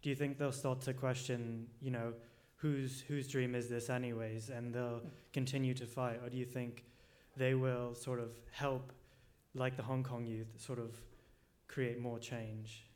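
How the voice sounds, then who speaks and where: A man's voice: medium pitch, little pitch movement, measured pace, darkish tone. Young man, casual audience question. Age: young adult. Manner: casual, self-deprecating, rambling question. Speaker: audience member asking a question. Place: lecture forum hall.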